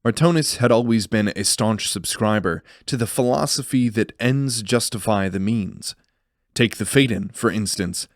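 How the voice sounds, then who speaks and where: A man's voice: lowish voice, moderate pace, dry recording, clean studio sound. A man reading scripted narration for a fantasy audio drama in a serious, brooding storytelling manner.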